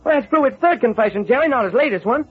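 An actor playing a weary, skeptical city police detective in an old radio crime drama, brisk and colloquial. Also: male voice, very high voice, expressive. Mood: exasperated. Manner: brisk, colloquial, matter-of-fact. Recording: muffled vintage radio recording.

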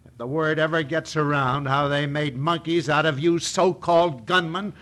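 An actor playing a bullying, hot-tempered gang boss in an old radio western, barking and scornful. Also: male voice, fairly high pitch, fairly even intonation, normal speed, a bit bright, close to an everyday voice. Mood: scornful, menacing.

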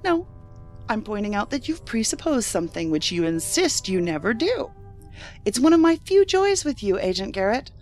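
An actress playing a skeptical, sharp-tongued federal agent in a science fiction audio drama, blunt and challenging with a sardonic edge. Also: female voice, fairly high pitch, very animated, normal speed. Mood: sardonic, confrontational. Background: music under the voice.

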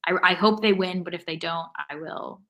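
A woman with a bright, crisp-edged voice that sits a little low speaks as a podcast panelist. She chats casually, with a dry, joking delivery. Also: steady tone, normal speed. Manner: wry, joking. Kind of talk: casual chat.

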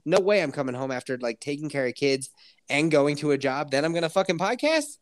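A woman hosting a podcast in casual, profane chat, ranting and laughing loudly, still stuffy from a cold. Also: low voice, animated, fairly quick. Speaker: podcast host.

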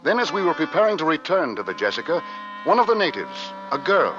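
An actor playing a dutiful ship's navigator narrating an Arctic voyage in formal, dramatic old-time radio style. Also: male voice, on the high side, very animated, a little fast. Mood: grave, measured. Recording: dull vintage radio recording with music.

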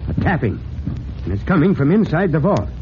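An American man playing a steady, fatherly fire chief, deliberate and commanding, in old-time radio drama style. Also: a little high. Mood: tense, puzzled. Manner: deliberate, authoritative. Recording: muffled, noisy old radio recording.

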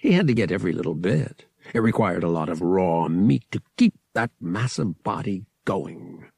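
A man's voice: deep voice, lively intonation, moderate pace, vocal fry, darkish tone, husky, dry recording, studio quality. A man narrating a story as a dramatic, scripted audiobook reading.

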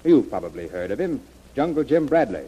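Old time radio general, dark mellow voice, measured n sure of himself, 1930s serial style. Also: male voice, medium pitch, big pitch swings, breathy edge, poor audio. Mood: confident, decisive. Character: gruff, confident American army general weighing a mission. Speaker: radio actor.

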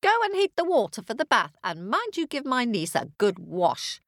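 A woman's voice: a little high, big pitch swings, moderate pace, fairly bright tone, no echo, studio quality, voice pushed up, full character voice. Woman putting on a swooping, wicked old witch. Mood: greedy. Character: wicked old witch, greedy, menacing, quick to rage.

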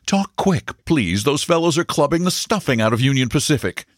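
A man voicing a frantic, busy young male stockbroker who proposes in a hurried rush. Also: on the low side, very animated, fairly bright tone, slightly rough, dry recording, studio quality, heavily acted. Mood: hurried eager.

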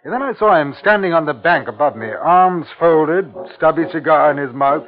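Actor as grave, uneasy commissioner, tinny old radio. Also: male voice, fairly high pitch, animated, close to an everyday voice. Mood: grave, awed. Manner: measured, grave, dramatic delivery. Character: uneasy, awestruck male colonial district commissioner recounting his ordeal. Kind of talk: 1950s radio drama acting and narration. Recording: tinny, band-limited 1950s radio recording, music behind.

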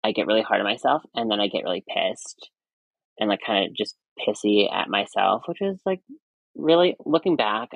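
A young man in his twenties with a high voice, a nurse chatting casually as a podcast guest, rambling with many fillers and restarts. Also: lively intonation, normal speed, vocal fry, slightly rough, no room sound, highs cut off. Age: 20s. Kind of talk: casual chat.

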